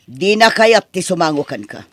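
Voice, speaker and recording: A man with an Ilocano accent playing a protective older mother, gentle with her daughter and stern with her son-in-law, in a radio-style family drama. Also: high-pitched, very animated, moderate pace, no room sound. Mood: stern, reproachful.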